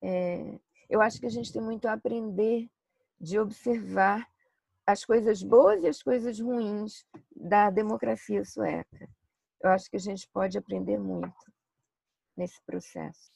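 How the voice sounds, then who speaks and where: A woman economist speaking as a guest on a webinar panel, in quick bursts, with a gravelly, husky voice. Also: medium pitch, darkish tone, no echo, low-quality recording.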